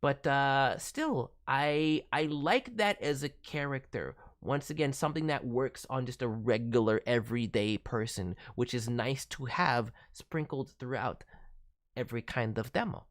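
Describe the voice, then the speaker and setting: A man's voice: medium pitch, a little slow. A man giving casual, thoughtful critique as a voice director, hedging as he talks. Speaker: voice director reviewing demo reels.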